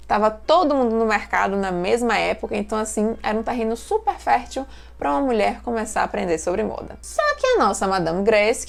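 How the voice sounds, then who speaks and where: A woman's voice: mid-range voice, creaky. A woman hosting a fashion history channel, telling stories in a chatty way, often tagging sentences with questions and speaking straight to her viewers. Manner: chatty, frequent "né?" tags, addresses viewers directly. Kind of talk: casual storytelling explainer.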